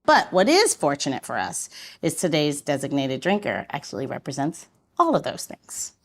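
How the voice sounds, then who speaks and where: A woman's voice: sits low, wildly swooping pitch, moderate pace. A woman hosting a podcast, introducing the topic and guest in a chatty, conversational style. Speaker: podcast host.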